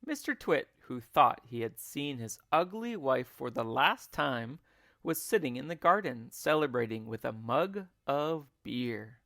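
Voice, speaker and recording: A man narrates a children's storybook with theatrical expression. His pitch moves widely while the pace stays slow and measured. The sound is dry and close. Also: sing-song, gravelly, a bit bright, no echo. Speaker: teacher reading a children's book aloud.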